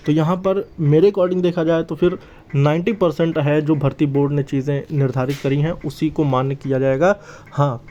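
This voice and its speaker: The recording is a little dull and lo-fi, carrying a man. His voice sits a bit higher than average but stays dark and relaxed in tone. He explains informally and reassuringly, stressing points by repeating them. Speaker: exam news channel host. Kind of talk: informal explainer addressed to exam candidates. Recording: slightly dull, lo-fi sound.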